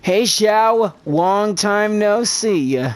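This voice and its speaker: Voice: mid-range voice, very slow. A gamer casually reading dialogue and commenting through a video game playthrough in an informal, slangy way. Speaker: gaming video narrator.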